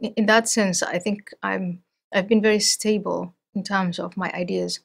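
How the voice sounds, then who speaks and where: Woman, middle-aged, interview guest, measured and thoughtful, mid-pitched, restarts often. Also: fairly even intonation, creaky. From Somalia. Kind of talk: interview conversation.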